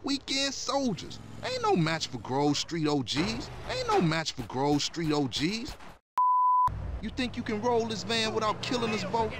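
A reckless gangster from a video game, impatient and scornful. His high voice leaps around wildly as he barks slang over clear vehicle noise. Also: huge pitch swings, unhurried, full character voice. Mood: scornful, boastful. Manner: barking, scornful street slang. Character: brash, impatient, reckless male gang member. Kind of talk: video game cutscene acting.

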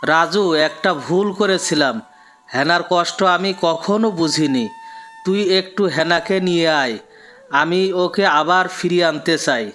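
A man acts a stern, weary dad in a family cartoon. His voice is high and bright, with faint music behind. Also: normal speed, lightly acted. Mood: weary, regretful. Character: stern, weary father of two daughters. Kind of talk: cartoon voice acting.

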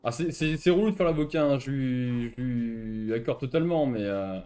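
A man talking casually through a role-play game session. His pitch sits in the middle, and he comes across as sarcastic and quick to argue. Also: mellow.

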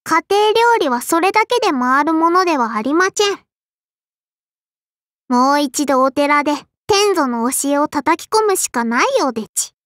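Little sparrow spirit girl for an anime game, super high kiddy lisp, prim n bossy, dry studio sound. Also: expressive, normal speed, fairly bright tone, no room sound. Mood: prim, critical. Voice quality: childlike, lisping. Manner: polite but scolding, quirky verbal tic. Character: small sparrow-spirit girl, strict, prim, proud cook and swordswoman. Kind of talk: anime game character voice lines.

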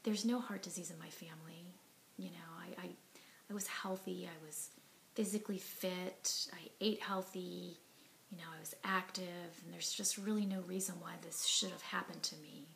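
A woman in her forties speaks straight to a camera close in front of her, earnest and reflective, her voice sitting a little low with a gravelly edge. She often drops to a soft, breathy tone and fills pauses with ums and you knows. A faint hiss runs under the recording. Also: steady tone, poor audio. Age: 40s. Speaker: heart attack survivor telling her story. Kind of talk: personal testimony to camera. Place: living room at home, indoors. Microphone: device camera at arm's length, sitting close.

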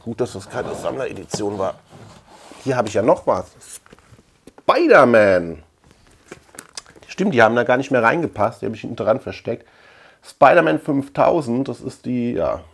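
A middle-aged man from Germany chats casually to camera, thinking out loud in a colloquial, rambling way. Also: mid-range voice, animated, normal speed, creaky, pauses often, slightly rough. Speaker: collector and video channel host. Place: small indoor room lined with shelves.

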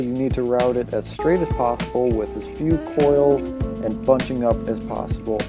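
A man in his twenties giving a casual how-to explanation to his viewers. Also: mid-range voice. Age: 20s. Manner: casual, addresses viewers as guys. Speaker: host. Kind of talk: how-to vlog, explanatory talk to camera. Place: outdoors by and inside a parked or moving car. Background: music.

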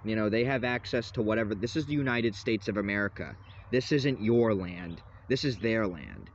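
Young man, agitated rambling rant, lowish voice, dull lo-fi phone sound with faint hiss. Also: lively intonation, normal speed. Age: young adult. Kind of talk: ranting selfie vlog. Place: inside a parked vehicle. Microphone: phone at arm's length.

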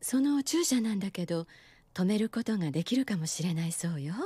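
A woman playing a composed adult nurse in anime, speaking in a gentle, coy and wistful manner. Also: mid-range voice, expressive, fairly quick, slightly rough, dry recording. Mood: gently teasing. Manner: gentle, coy, feminine phrasing. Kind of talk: anime drama dialogue.

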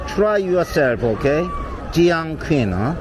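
Korean-accented guy walking a night street live, choppy english, lots of "huh?", lively up and down voice, crowd and pop music behind. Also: a little high. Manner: short broken English phrases, constant "huh?" to viewers. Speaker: vlogger leading a live walking tour. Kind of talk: live street vlog chatter to viewers. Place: busy city street at night, outdoors. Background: street crowd and loud music.

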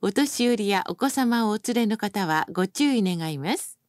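A woman's voice: medium pitch, animated, normal speed, darkish tone, no echo, studio quality. A woman reading scripted bus announcements as a recorded announcer, in a formal, polite and carefully enunciated manner.

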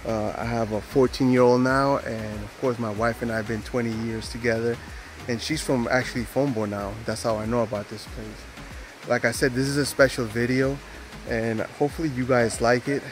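A man's voice: mid-range voice, fairly even intonation, moderate pace, soft background music. A man in his forties, raised in the United States, talking casually to a handheld camera outdoors as a travel vlogger, full of fillers and restarts. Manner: rambling, filler-heavy, friendly aside-style talk. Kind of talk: casual vlog narration to camera. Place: outdoors at a rainforest park.

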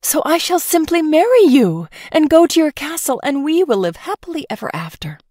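A spoiled young storybook princess, acted by a woman, demanding and theatrical. The voice leaps high and swoops through every phrase. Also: sing-song, normal speed, a little mellow, no room sound, clean studio sound. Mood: delighted. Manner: huffy, wailing, theatrical.

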